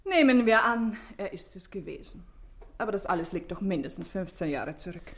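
A woman's voice: medium pitch, lively intonation, normal speed, natural voice. An actress playing a self-assured, evasive society woman under questioning in a German radio drama, with an ironic, haughty manner.

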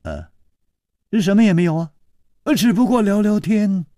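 A man's voice: high-pitched, moderate pace, dark tone, no room sound, studio quality, voice pushed up, natural voice. A man voicing a witty male swordsman hero who laughs, sighs and banters. He speaks his lines in a raised, lighter voice, playful and wry. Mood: wry sighing.

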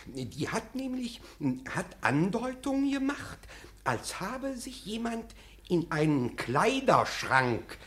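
A nervous police subordinate in a German radio play. His mid-pitched voice leaps up and sinks down as he slowly stammers. He is servile and hesitant, eager to agree with those above him. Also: big pitch swings, fairly bright tone, full character voice. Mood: flustered, servile. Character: flustered, obsequious male police assessor, timid subordinate.

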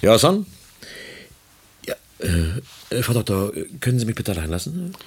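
A man's voice: fairly low pitch, big pitch swings, normal speed, background noise. A man playing an evasive, condescending senior research doctor in a radio play, with a hesitant, officious manner. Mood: distracted, hesitant. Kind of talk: German radio play acting.

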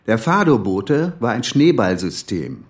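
A man's voice: medium pitch, very animated, unhurried. A male actor playing a blunt, folksy older police chief in a radio crime drama, talking colloquially and bossily. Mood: explaining. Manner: colloquial, bossy, chummy. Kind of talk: naturalistic German radio crime drama acting.

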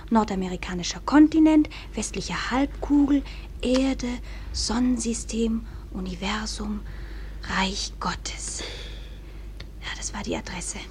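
Eager, high-voiced little girl. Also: expressive, measured pace, a little mellow, a little hiss or hum. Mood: awed. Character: eager, wonder-struck little sister, a young girl. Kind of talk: German radio play acting.

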